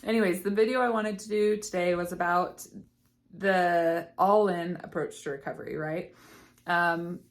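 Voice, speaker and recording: Slightly hoarse woman coach, lowish voice. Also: fairly even intonation, normal speed. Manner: earnest, many fillers and restarts. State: slightly hoarse, losing her voice. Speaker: eating disorder recovery coach. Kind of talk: casual talk to camera.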